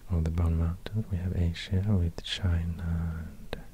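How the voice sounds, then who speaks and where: A man whispers softly and very slowly in a Swedish accent, as in a relaxation ASMR session. His voice is low and breathy, with hesitant phrasing, close on a binaural mic. Noticeable noise and small clicks sit in the recording. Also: flat delivery. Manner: slow, hesitant, murmuring to the listener. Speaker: ASMR creator. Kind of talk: soft-spoken whispered ASMR. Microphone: close binaural microphone.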